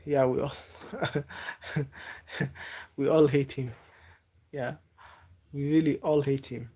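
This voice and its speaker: A man from Africa, not a native English speaker, chatting live with viewers and giving gentle advice, halting and repeating words. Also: medium pitch, steady tone. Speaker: livestreamer. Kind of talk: casual live-stream chat with viewers.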